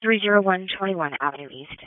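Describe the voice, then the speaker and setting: A woman's voice: medium pitch, a little fast. A woman working as an emergency dispatcher, reading out procedural details in a clipped, methodical way over police radio. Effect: police radio transmission. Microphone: radio dispatch microphone. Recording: narrow-band police scanner radio.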